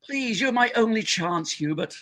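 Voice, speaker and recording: A man's voice: high-pitched, animated, normal speed, bright, forceful tone. A man playing a desperate, insistent married doctor who cajoles a colleague in a stage farce. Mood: desperate pleading. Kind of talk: stage farce readers theater acting.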